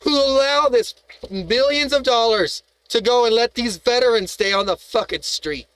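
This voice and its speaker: A man's voice: extremely high pitch, moderate pace, a bit bright, smooth, no echo, rough recording. A man hosting a live stream, ranting in a heated, profane, rambling way, full of restarts and rhetorical questions.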